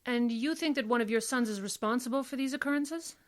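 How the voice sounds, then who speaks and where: A woman acting in a classic radio drama as a principled defense attorney, speaking in a formal, measured way. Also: fairly high pitch, close to an everyday voice. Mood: thoughtful.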